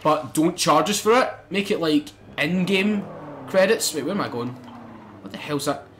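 A young man commentating a racing game let's play, excitable and swearing, bursting into loud exclamations. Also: fairly high pitch, moderate pace, a little hiss or hum. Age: young adult. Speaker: gaming channel host.

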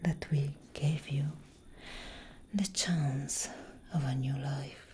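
Woman playing elder vampire sister: low, breathy whisper, very measured, grave and protective, ASMR roleplay. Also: fairly even intonation, mellow, slight background noise. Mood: tender, affectionate. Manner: slow, intimate, deliberate. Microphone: close microphone.

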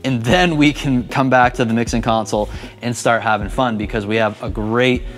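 A man's voice: mid-range voice, fairly even intonation, moderate pace, fairly bright tone, soft background music. A man in his thirties giving a conversational, practical tutorial to camera, speaking into a lapel mic. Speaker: church audio instructor. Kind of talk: explanatory tutorial talk to camera. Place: indoors, equipment room and church hall. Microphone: clip-on lapel mic.

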